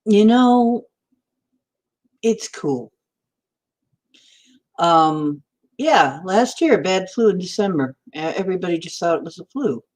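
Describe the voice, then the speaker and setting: A woman's voice: fairly low pitch, animated, slow pace, long pauses, darkish tone. A woman in her sixties chatting in a meandering way on a livestream, answering viewer comments as she goes. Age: 60s. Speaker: livestream host. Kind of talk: rambling livestream chat.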